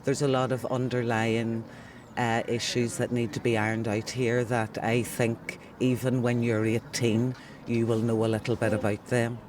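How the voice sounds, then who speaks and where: A man's voice: mid-range voice, little pitch movement, moderate pace, noisy background. A man in his sixties with a Northern Irish accent, speaking earnestly in a documentary interview as if addressing a child. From Northern Ireland. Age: 60s.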